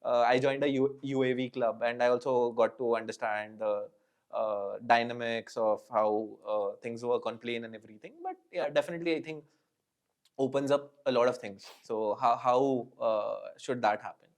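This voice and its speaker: A man's voice: medium pitch, steady tone, moderate pace. A man with an Indian English accent hosting a casual podcast interview, asking long rambling questions and often affirming with "correct". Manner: affirming listener, frequent "correct" and "mm-hmm". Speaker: podcast host.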